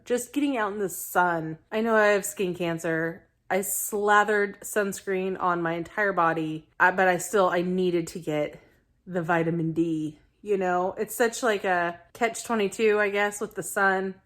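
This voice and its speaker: A woman in her thirties vlogging casually to camera, her voice raspy from a lingering illness, chatty and self-deprecating. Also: on the low side, fairly even intonation, unhurried, fairly bright tone. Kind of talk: casual weight-loss vlog to camera.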